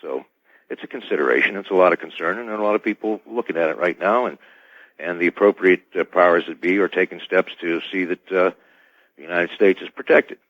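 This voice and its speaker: Very low male voice, careful formal radio guest, halting delivery, dull band-limited line, roomy echo. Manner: measured, hesitant, careful and formal. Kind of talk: live radio interview.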